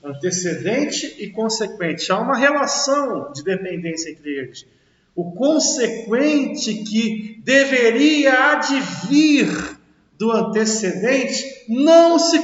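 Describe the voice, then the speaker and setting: A man with a Rio de Janeiro accent teaches a logic class. He talks in a lively way, asks the class questions and answers them himself. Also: extremely high pitch, slow pace. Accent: Rio de Janeiro Portuguese. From Brazil. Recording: echoey classroom, distant dull mic.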